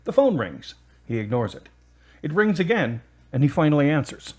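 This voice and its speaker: A man's voice: on the high side, sing-song, moderate pace, a little mellow. A man narrating a scripted story as the narrator of an audio drama.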